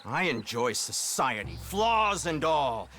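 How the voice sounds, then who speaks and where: Pompous, menacing detective with sweeping inflection. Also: male voice, fairly high pitch, sing-song, slow pace, sharp tone, faint music behind, full character voice. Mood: cold menace. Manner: pompous, articulate, coldly threatening. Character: smug, menacing, formal government-style detective, middle-aged man. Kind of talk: theatrical video game acting.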